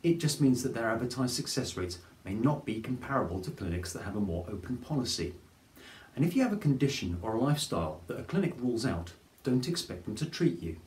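A man, presenting a scripted explainer to camera in a clear, measured way. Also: mid-range voice, big pitch swings, a little mellow. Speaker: fertility advice presenter. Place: plain studio with grey backdrop. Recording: roomy studio echo.

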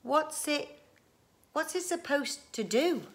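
A woman's voice: high voice, expressive, slow pace, pitched above the performer's own voice, full character voice. A woman voicing a curious, blunt young boy in a childlike storybook manner.

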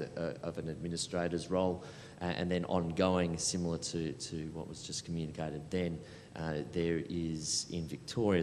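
Man, middle-aged, very low monotone, panel talk, measured explanation. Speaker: panelist, programme administrator. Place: large hall panel event with audience. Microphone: handheld microphone through hall. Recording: echoey hall, low rumbling noise.

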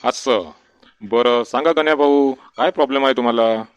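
A man's voice: medium pitch, moderate pace, clear, resonant tone, dry recording, lo-fi sound, lightly acted. A man playing a village doctor in a comic cartoon, coaxing and colloquial. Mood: calm, coaxing. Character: male village doctor, patient but easily exasperated.

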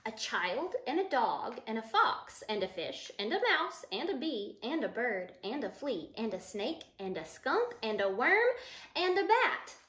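A woman's voice: fairly high pitch, sing-song, measured pace, a bit bright. A woman in her thirties reads a children's picture book aloud expressively, explaining it and putting questions to children. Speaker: storytime reader, mother. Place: living room couch at home, indoors. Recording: roomy echo of a living room.

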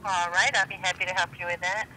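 A woman's voice: fairly low pitch, flat delivery, fast talker. An American woman working as a customer support agent on a phone call, polite, formal and patient. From United States. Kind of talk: scripted phone customer service. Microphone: phone call heard over handset speaker. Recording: sharp phone speaker, faint background noise.

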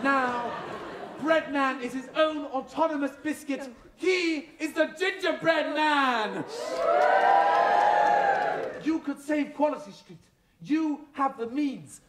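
A woman's voice: high-pitched, slow pace, long pauses, a crowd in the background, background noise. A woman playing a plucky, righteous heroine, declaiming theatrically in a stage pantomime. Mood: triumphant. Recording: echoey theatre recording, loud music.

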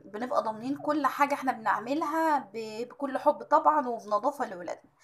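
Chatty Egyptian woman with a tired voice. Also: a little high. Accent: Egyptian Arabic. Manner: chatty, filled with drawn-out "aah" hesitations. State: tired, slightly strained voice. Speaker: cooking channel host. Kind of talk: home cooking tutorial voice-over.

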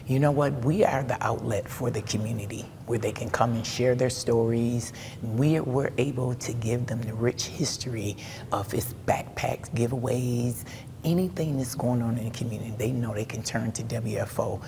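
A woman's voice: extremely low pitch, moderate pace, creaky. A middle-aged woman who owns a radio station, answering interview questions in an upbeat, proud way. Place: indoor exhibit hall. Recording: hall reverb, faint noise.

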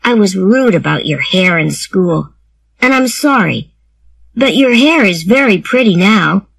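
A woman's voice: mid-range voice, lively intonation, unhurried, vocal fry, husky, dry recording, full character voice. A woman voicing a proud but polite schoolboy in a storybook reading, sincere and plain. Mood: earnest.

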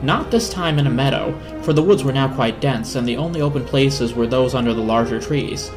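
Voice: mid-range voice, brisk, over music. A narrator giving a dramatic reading of a storybook. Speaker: narrator, reader.

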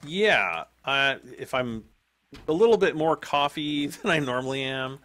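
A man with a hoarse voice giving casual, rambling commentary while playing a game, often clearing his throat. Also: on the high side, expressive, unhurried, a bit bright. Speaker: gaming YouTuber.

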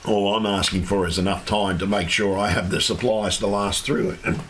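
Hoarse man ranting on a livestream. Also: on the low side, flat delivery, a little fast. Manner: agitated, swearing, repetitive. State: losing his voice. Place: home room with fish tank. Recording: faint background hum from fish tank.

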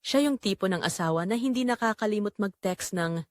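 A woman playing a betrayed young wife in an audio drama, narrating her heartbreak in earnest, measured, confessional phrases. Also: medium pitch, expressive, dry recording, lightly acted. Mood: wounded, reflective. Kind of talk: scripted first-person dramatic narration.

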